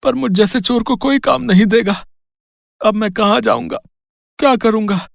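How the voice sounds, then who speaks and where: A man's voice: high voice, moderate pace, vocal fry, no echo, studio quality. A man playing a hapless, bewildered village thief in a cartoon moral story, exclaiming and pleading dramatically. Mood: humble, pleading. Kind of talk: animated Hindi moral story acting.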